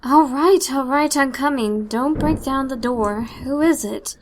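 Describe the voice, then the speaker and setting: A woman's voice: high-pitched, measured pace, slight background noise. A woman playing a scolding, worried, superstitious housekeeper in an old-time radio drama style, with a fussy, dramatic delivery. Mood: exasperated.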